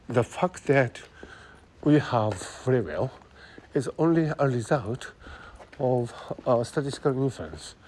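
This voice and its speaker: A man with a Japanese accent thinking aloud in a halting monologue while walking city streets, speaking into a phone, full of fillers and restarts. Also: mid-range voice, a little mellow. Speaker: podcast host. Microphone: phone held close.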